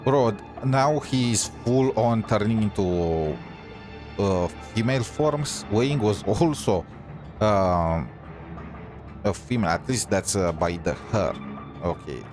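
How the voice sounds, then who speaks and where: A man giving casual, halting gameplay commentary, musing aloud as he goes. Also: fairly low pitch, lively intonation, over music. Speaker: game streamer.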